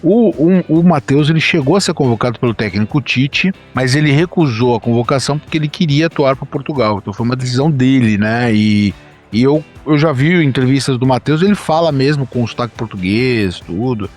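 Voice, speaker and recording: A man's voice: medium pitch, animated, a little fast, soft background music. A man from Brazil hosting a radio interview, conversational and prompting with tag questions. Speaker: radio host. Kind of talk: casual interview.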